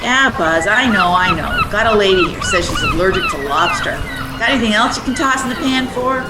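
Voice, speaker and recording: A woman playing a brisk, blunt, no-nonsense tour boat hostess in a radio play. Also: on the high side, wildly swooping pitch, reverberant, very noisy. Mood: brisk, businesslike.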